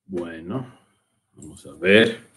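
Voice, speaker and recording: A man's voice: low-pitched, expressive, takes it slowly, fairly bright tone. A man with an American English accent, a native English speaker, giving a virtual conference lecture in Spanish, often repeating words as he searches for them. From United States. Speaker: invited lecturer. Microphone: computer mic on a video call.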